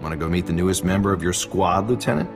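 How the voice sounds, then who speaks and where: An actor playing a friendly, smooth-talking intelligence agent in a video game, easygoing and teasing. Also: male voice, sits low, quick pace. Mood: inviting. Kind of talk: scripted video game acting. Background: music.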